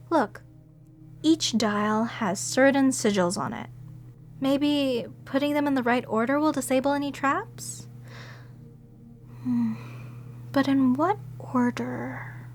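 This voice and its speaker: A young woman voicing a sweet, eager, airheaded museum curator in an audio roleplay drama, wide-eyed and excitable. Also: high voice.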